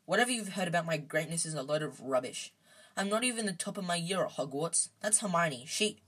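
A woman reads as an awkward young boy wizard from a storybook. The voice is slightly husky, hesitant and polite, kindly but nervous. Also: on the low side, expressive, brisk, a bit bright. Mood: embarrassed. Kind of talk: storybook character voice.

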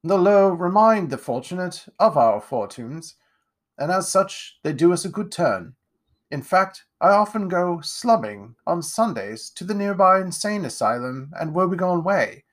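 A man's voice: sits high, sing-song, measured pace, voice pushed up. A man voicing a pompous, condescending wealthy nobleman with a grand, theatrical manner in a storybook reading. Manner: grand, theatrical, plummy delivery.

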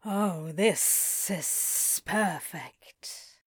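A small serpent prince acted by a woman in an audio story. He speaks slowly, in a flat, faintly hissing drawl. His airs are princely and his temper is dry and sardonic. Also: on the low side, slightly rough, full character voice. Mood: flat, haughty. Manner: sibilant, drawn-out, flat drawl.

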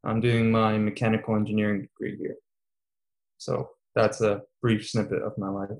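A man's voice: lowish voice, little pitch movement, moderate pace. A man in his twenties, a student guest in a casual interview, talking with many fillers and easy laughs. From Serbia, raised in Qatar. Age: 20s.

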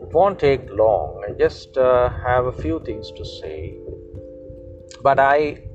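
A man from Sri Lanka, a Sinhala speaker, hosting a casual solo podcast while he drives, pausing often and filling gaps with "uh". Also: medium pitch, sing-song. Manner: halting, many pauses and fillers. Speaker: podcast host. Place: in a moving car. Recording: dull lo-fi car recording with music.

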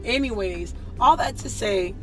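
A young woman talks in a playful, joking way, her voice still hoarse. She is chatting from inside a car. Faint music plays behind her. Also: mid-range voice, unhurried, pushed and bright, low-quality recording. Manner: playful, laughing, churchy slang. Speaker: vlog co-host. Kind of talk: casual chat in a car. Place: parked car in a drive-thru line.

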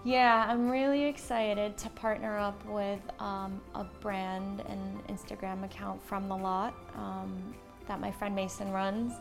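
An American woman gives casual, conversational interview answers about her own work. Her mid-pitched voice has a noticeable creak, and she sounds enthusiastic. Soft music plays underneath. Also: flat delivery, measured pace, few pauses. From born in Slovakia, moved to the United States at seven. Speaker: small business owner, textile designer and shopkeeper.

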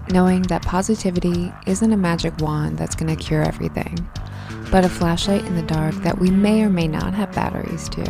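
A woman reads the scripted opening of her podcast in an earnest, reassuring tone. Her voice is low with a gravelly fry, and plucked guitar music plays clearly under her words. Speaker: podcast host. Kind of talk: scripted show intro.

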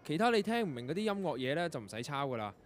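Actor as a high-voiced, brusque young male composer. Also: big pitch swings, racing. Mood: curt, impatient. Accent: Hong Kong Cantonese. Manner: curt, brusque, dramatic. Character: tormented young male composer, curt, anguished, losing control of his ears. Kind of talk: radio drama acting.

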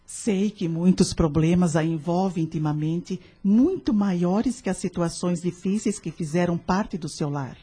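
An actress playing a calm, wise female spiritual counselor in a radio drama, measured, formal and gently probing. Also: on the low side, husky. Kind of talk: radio soap opera acting.

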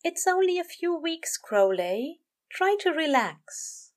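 A woman voicing a gentle, earnest male angel bookseller in an audio story, soothing, polite and a little prim. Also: very high voice, big pitch swings, slow, pitched above the performer's own voice, exaggerated voice. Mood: reassuring.

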